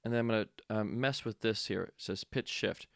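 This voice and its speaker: A man's voice: on the low side, fairly quick, creaky, no echo, clean studio sound. A man teaching audio production in a casual tutorial, explaining step by step and thinking aloud as he goes.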